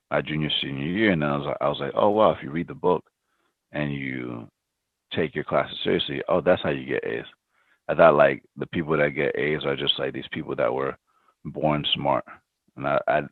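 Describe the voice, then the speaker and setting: A man's voice: very deep voice, no room sound. A man in his thirties, a startup founder, answering interview questions reflectively, with many ums and restarts. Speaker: podcast guest, startup founder. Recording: dry, phone-like remote line.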